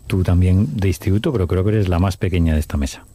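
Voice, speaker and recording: Man, interview host, very low, dark and husky voice, dry close sound, friendly, laughs a lot. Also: fast, no echo. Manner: friendly, laughs often, short encouraging replies. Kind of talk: relaxed interview chat.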